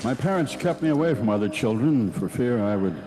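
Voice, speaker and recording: A man playing a wistful, deadpan storyteller looking back on boyhood in comic old-time radio style, with folksy pauses. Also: medium pitch, lightly acted, low-quality recording. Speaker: storyteller host of a radio show.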